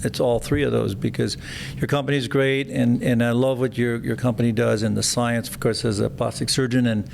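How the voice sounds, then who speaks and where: A man's voice: medium pitch, little pitch movement, a little fast, gravelly, darkish tone, a little hiss or hum. A middle-aged man, a plastic surgeon hosting his podcast, chatty and enthusiastic with frequent restarts. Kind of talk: podcast interview.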